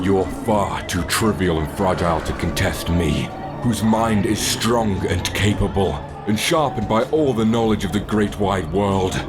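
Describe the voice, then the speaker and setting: A man voicing an arrogant, menacing dragon villain with pompous, scornful speech in a fantasy audiobook. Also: sits low. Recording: music behind the voice.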